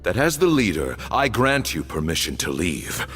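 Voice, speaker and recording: An actor playing a stern, accusing old temple leader in a video game, with imperious, deliberate, theatrical delivery. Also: male voice, low-pitched, very animated, a bit bright, faint music behind. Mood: accusing, grave. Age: older. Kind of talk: dramatic video game voice acting.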